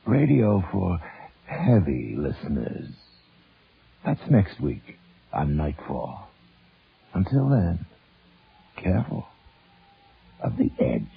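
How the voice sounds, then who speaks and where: Casting: male horror-anthology host, very husky and low, sinister wry theatrical reading, thin old radio sound. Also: big pitch swings, slow, pauses often, narrow, tinny audio. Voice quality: husky, gravelly.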